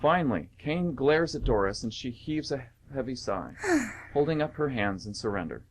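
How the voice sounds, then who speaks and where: A man's voice: mid-range voice, sing-song, moderate pace, slight background noise, poor audio. A man narrating scripted stage directions for a radio play.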